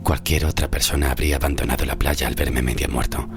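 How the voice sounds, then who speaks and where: An actor from Spain playing a frightened shipwrecked sailor of centuries past, telling his horror tale gravely and haltingly in an audio drama. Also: extremely low pitch, steady tone, music in the background. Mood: haunted, hushed. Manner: grave, halting, suspenseful delivery. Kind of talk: dramatic first-person horror audio-fiction narration.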